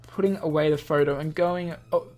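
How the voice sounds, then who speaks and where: A man's voice: on the high side, fairly even intonation, a little fast, a little mellow. A man hosting a gaming video, reading a visual novel aloud with casual asides and correcting himself as he goes. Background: music.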